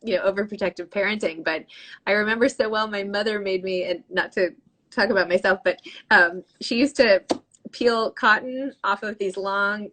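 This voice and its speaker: A woman's voice: medium pitch, steady tone, normal speed, fairly bright tone. A woman hosting a book podcast, chatting casually on a video call in a self-deprecating way with lots of fillers. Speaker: podcast host. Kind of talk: casual interview chat.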